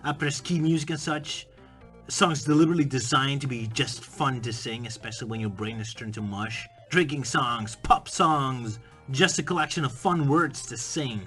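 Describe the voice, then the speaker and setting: Bright-voiced man musing casually. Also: mid-range voice, moderate pace, faint music behind. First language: Dutch. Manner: musing, conversational, bursts into playful mock singing. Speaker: video essay host. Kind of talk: casual spoken commentary.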